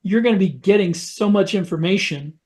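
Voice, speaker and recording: A man with a somewhat high-pitched voice in a podcast discussion. He speaks casually and conversationally as one of the co-hosts. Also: normal speed, darkish tone. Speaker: podcast co-host and author.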